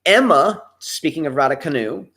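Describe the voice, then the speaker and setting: A man's voice: fairly high pitch, expressive, moderate pace, sharp tone, rough recording. A man co-hosting a casual live talk show, reading viewer comments aloud and picking apart their words. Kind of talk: casual live-stream chat.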